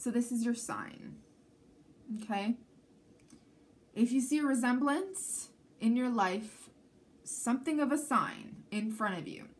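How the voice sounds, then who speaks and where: A woman's voice: fairly high pitch, measured pace, long pauses. A woman from Canada whose first language is Armenian, giving a chatty tarot reading to camera and often checking in with the viewer. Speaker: tarot reader.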